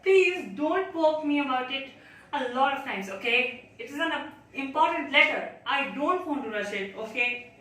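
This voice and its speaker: A woman performing a theatrical monologue as an anxious, chatty, naive child, talking to themself in a dramatic, deliberate way. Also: high-pitched, lively intonation, a bit bright. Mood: defensive, pleading. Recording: very echoey room, distant mic, faint noise.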